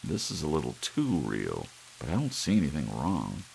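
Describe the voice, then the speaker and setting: A man's voice: a bass voice, huge pitch swings, moderate pace, gravelly, darkish tone, slight background noise, full character voice. A man playing a confident, amused husband in an audiobook, reassuring and a little dismissive. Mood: calm, amused.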